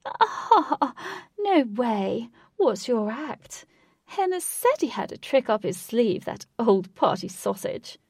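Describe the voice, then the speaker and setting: A woman's voice: a little high, sing-song, takes it slowly, a little mellow, slightly rough, dry recording, clean studio sound, pitched above the performer's own voice, exaggerated voice. A woman voicing a brash, boastful young British man with a posh accent and gushing manner in an audiobook. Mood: jovial, amused. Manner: gushing, exclamatory. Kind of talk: audiobook character voice.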